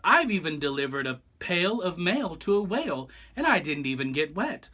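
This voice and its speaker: A man's voice: fairly high pitch, sing-song, moderate pace, sharp tone, voice pushed up, exaggerated voice. A man voicing an indignant, proud male stork who delivers mail, huffy and declamatory, in a picture-book read-aloud. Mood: indignant boasting.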